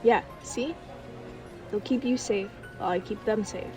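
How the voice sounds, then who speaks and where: A woman's voice: medium pitch, unhurried, natural voice. Sarcastic, brusque but protective dream guardian, played by a woman, audio roleplay, slightly distant over music. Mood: wry, alert.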